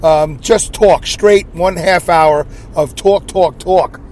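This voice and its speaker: A man's voice: fairly high pitch, takes it slowly, darkish tone. Scratchy-voiced man rambling in a car. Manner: self-deprecating, rambling, frequent throat clearing. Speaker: podcast host. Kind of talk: solo rambling podcast monologue. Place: inside a car.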